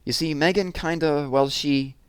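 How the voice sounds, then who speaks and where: A smug, bossy little girl from a storybook acted out by a man, pitched well above his usual voice. The delivery is breezy and taunting. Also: fairly even intonation, moderate pace, clean tone, no echo, close to an everyday voice. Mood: hesitant.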